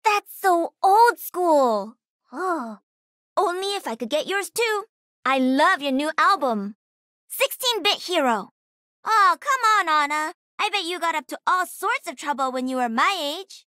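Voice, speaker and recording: A young female pro gamer piloting a mech in a video game. Her voice is extremely high and lively, swinging widely in pitch, with a close, dry sound. She comes across as cocky, playful and energetic. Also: a little slow, no echo, full character voice. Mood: playful, confident. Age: young adult. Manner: sassy, confident, energetic.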